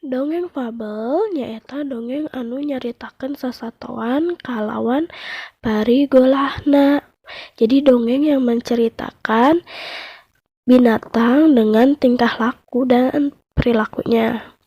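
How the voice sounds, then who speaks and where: A woman's voice: a little high, slow, breathy edge, no echo, muffled recording. A woman with a Sundanese accent, a primary school teacher giving a lesson and narrating stories for children.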